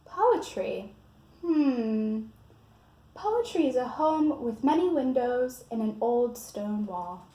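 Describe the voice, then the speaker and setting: A woman reads as a small storybook chipmunk, thoughtful and deliberate. The tone is mellow, in a room with clear echo. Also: a little high, expressive. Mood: pondering. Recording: roomy echo, faint background noise.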